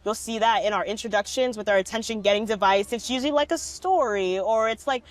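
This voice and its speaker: A woman's voice: mid-range voice, quick pace, no room sound. A young woman in a casual interview, often laughing and filling her speech with "like". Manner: laughing often, many "like" fillers. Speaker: documentary subject, speech competitor.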